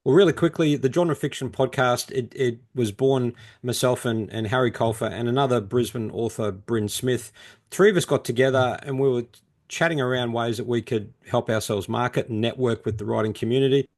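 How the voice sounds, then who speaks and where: A man's voice: medium pitch, brisk, a little mellow. Male podcast co-host, medium register, informal and colloquial, explanatory conversational delivery. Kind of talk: casual interview talk.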